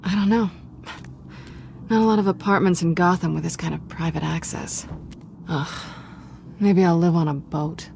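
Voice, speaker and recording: A woman's voice: on the low side, fairly even intonation, moderate pace, vocal fry, darkish tone, slight background noise. An actress playing a wry, guarded, independent woman on the run, with a dry, understated delivery in a video game. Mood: wry resignation. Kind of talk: dramatic video game acting.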